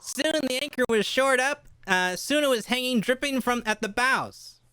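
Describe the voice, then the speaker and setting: A woman playing an earnest young cabin boy who tells his adventure in a live radio play, reading a little haltingly. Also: high voice, lively intonation, fairly bright tone, clean tone, no echo.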